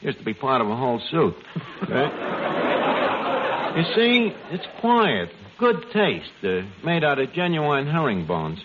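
A scheming, streetwise New York bar manager in an old radio comedy, talking in slangy, hesitant patter. His voice swings widely in pitch. The recording is dull and hissy, with an audience behind it. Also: sing-song, slow, crowd noise. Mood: smug, defensive. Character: shabby, scheming, uneducated barroom manager, male, streetwise malapropist. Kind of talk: 1940s radio comedy acting.

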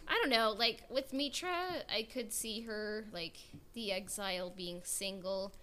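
A woman's voice: mid-range voice, a little slow, pushed and bright, slight background noise. A woman hosting a casual podcast, chatting informally and reading out listener comments. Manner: informal, conversational. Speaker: podcast host.

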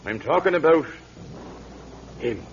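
A guilt-ridden old Irish drunkard, frail and fearful. His halting, theatrical lament swings widely in pitch, in an Irish accent. It is classic radio drama, heard through a dull vintage recording with a faint hum. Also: expressive. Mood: grave, confessional.

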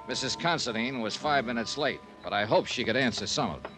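A man acts a hardboiled American investigator from classic radio, wry and sure of himself. His mid-pitched voice moves up and down freely in clipped, sardonic lines. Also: animated, a little fast, over music, natural voice. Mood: brisk, narrating. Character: wry, tough, self-assured male private insurance investigator. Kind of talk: old-time radio drama acting and first-person narration.

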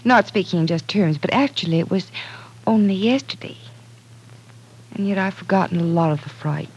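Young sister in old radio play, husky, soft, candid, caring. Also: female voice, lowish voice, moderate pace, poor audio. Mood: reflective. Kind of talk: old-time radio drama acting. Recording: vintage radio broadcast.

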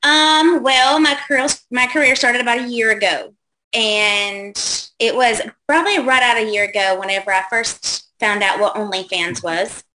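Bright, chatty woman in her thirties. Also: a little high, moderate pace, slight background noise, low-quality recording. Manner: chatty, lots of ums and likes. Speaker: guest. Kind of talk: casual interview answers.

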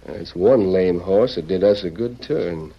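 A man's voice: low voice, measured pace. A man playing a ruthless, gloating outlaw leader in an old-time radio western, with a bossy, sneering cowboy drawl. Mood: bossy, scheming. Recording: dull, noisy old radio recording.

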